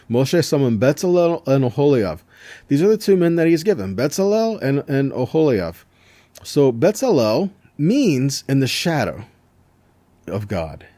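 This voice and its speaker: A man's voice: medium pitch, warm tone, no echo. A man giving an informal Bible teaching, conversational but emphatic, reading verses and checking in with rhetorical questions.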